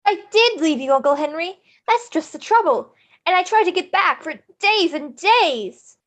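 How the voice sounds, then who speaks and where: A very high, girlish voice from a woman acting as a young farm girl in a screenplay reading. She is earnest and wide-eyed, pleading with tearful emphasis. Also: expressive, moderate pace, a bit bright. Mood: insistent. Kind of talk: screenplay table read.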